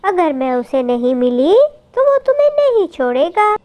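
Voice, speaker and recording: A woman's voice: high-pitched, very animated, normal speed, darkish tone. A woman voicing a small, clever mother bird in a children's cartoon, earnest and dramatic as she pleads. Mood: nervous, pleading. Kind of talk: Hindi children's cartoon story acting.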